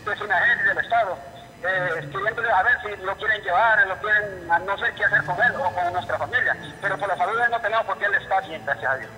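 A man with a Honduran accent calling a live news programme by phone, speaking in an indignant, halting way and repeating himself. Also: high-pitched, sharp tone, a little hiss or hum. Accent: Honduran Spanish. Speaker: phone-in caller, relative of a patient. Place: telephone call to a TV studio. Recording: muffled, reverberant phone line.

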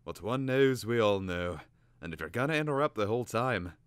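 A haughty talking bird from a storybook, acted out by a man, pitched up from a deep male register. It speaks in grand, indignant phrases like a proud, short-tempered storyteller. The sound is close and dry. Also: animated, a little fast, clear, resonant tone, no room sound, close to an everyday voice. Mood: irritable, grand.

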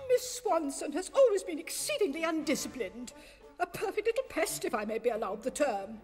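A prim, disapproving schoolmistress in a cartoon. Her high, animated voice speaks precisely in an echoing hall. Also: fairly quick. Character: prim, disapproving middle-aged college schoolmistress. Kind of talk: cartoon acting. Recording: echoing hall, faint music.

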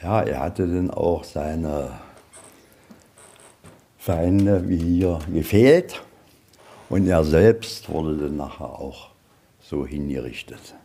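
Deep, mellow folksy male museum guide. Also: takes it slowly, long pauses. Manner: folksy, rambling storyteller, tags sentences with "ja". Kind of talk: informal guided tour with anecdotes. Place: inside a museum, on location.

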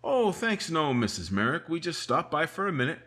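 A man's voice: fairly high pitch, sing-song, moderate pace, pushed and bright, full character voice. An actor playing a patient small-town sheriff in a 1950s-style radio mystery, drawling and hesitating with "uh" and "mm" as he questions a witness. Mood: suspicious. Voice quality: husky, drawling with "uh" and "mm".

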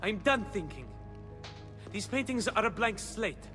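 Excitable high-voiced young assistant. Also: male voice, a little slow. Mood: frustrated. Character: frustrated, excitable young male artist's assistant. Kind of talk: video game cutscene acting. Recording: music behind the dialogue.

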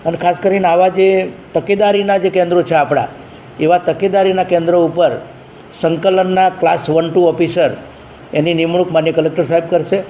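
A man briefs a reporter as an education official. His voice sits on the high side and is soft-edged and mellow. He is emphatic and repeats his phrasing, with faint noise around him. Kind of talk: informal spoken briefing to a news reporter.

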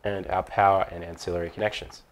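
Man, lowish voice, methodical, explanatory, informal step-by-step demo. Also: level tone, quick pace, slight background noise. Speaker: product tutorial presenter.

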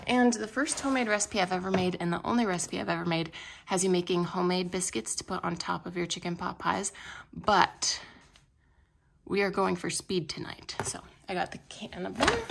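A woman talks through her grocery haul in a relaxed, chatty way, with a gravelly, fry-tinged voice. It is a noisy home kitchen recording, with paper bags rustling and items clicking on the counter. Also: fairly low pitch, normal speed. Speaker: cooking vlogger. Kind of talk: casual grocery haul chat. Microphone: handheld camera pointed at the counter, speaker off screen.

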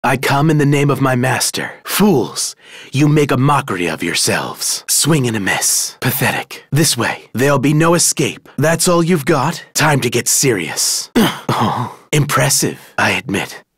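Scornful warrior god, very slow delivery. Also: male voice, mid-range voice, very animated, a bit bright, husky, no room sound, studio quality, heavily acted. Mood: cold, scornful. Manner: formal, theatrical, contemptuous. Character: young male god of justice, loyal, scornful, stately warrior. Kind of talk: English video game battle lines.

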